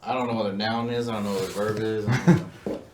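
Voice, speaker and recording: A man's voice: fairly low pitch, little pitch movement, quick pace, recorded from a distance. A man whose first language is Hmong, raised in the United States, chatting casually. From United States, arrived aged three. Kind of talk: casual chat. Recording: echoey, noisy room.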